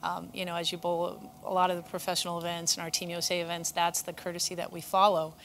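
A middle-aged woman coach talking through bowling rules in a relaxed, conversational way, drawing on her own experience, in a bowling center. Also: lowish voice, fast, slight background noise. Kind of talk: instructional chat. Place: bowling center, seated at a table.

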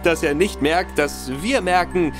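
Casting: a man as a dim, hammy young villain's son in a radio play, slow drawn-out lines, music clearly under him. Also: on the high side, close to an everyday voice. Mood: gloating. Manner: boastful and nervous, stammering.

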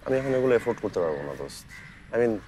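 Cocky young bangladeshi student, selfish, slangy w english bits, lively pitch, faint noise behind, film drama. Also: male voice, rapid-fire. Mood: defensive. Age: young adult. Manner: defensive, slangy, English mixed in. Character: self-centred, cocky young male student leader. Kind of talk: naturalistic short-film drama dialogue.

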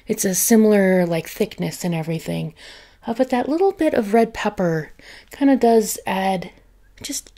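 A woman in her thirties from the United States, chatting softly in an ASMR grocery haul in her home kitchen. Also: mid-range voice. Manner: soft-spoken, chatty, laughs often. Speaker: ASMR creator.

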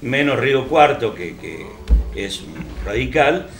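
A man in his sixties with an Argentine accent discussing politics off the cuff as a panel host, halting and reflective with frequent pauses. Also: medium pitch, very animated, gravelly, a little hiss or hum. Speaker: panel host on a regional TV talk show. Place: small TV studio at a panel table. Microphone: table microphone. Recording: roomy echo, faint music behind.

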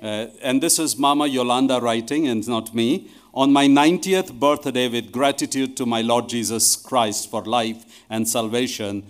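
A middle-aged man speaking to a congregation from a church lectern microphone. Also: mid-range voice. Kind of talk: addressing a congregation, reading a testimony aloud. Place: large church hall, indoors.

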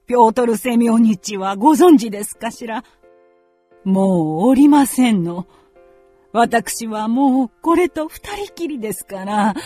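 A woman's voice: on the high side, warm tone, slightly rough. A woman playing a genteel, fretful middle-aged mother in a radio drama, with very polite old-fashioned feminine speech. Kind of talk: Japanese radio drama acting.

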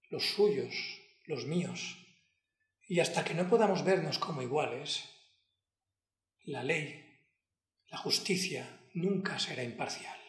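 An impassioned male defense lawyer, played by a man addresses a jury in a dramatic monologue. His voice sits a little high and moves very slowly, each short phrase set down with solemn emphasis. The room around him rings with clear echo. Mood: solemn, earnest. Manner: slow, deliberate, emphatic, broken into short phrases. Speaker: acting student. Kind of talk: dramatic courtroom monologue, acted to camera. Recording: echoey room.

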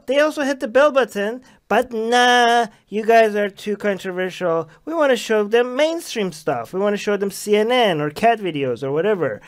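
A man's voice: very high voice, a bit bright. A man hosting a casual livestream from a small room at home on a headset mic. He teases, laughs often and repeats himself. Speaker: show host. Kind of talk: casual livestream chat, teasing.

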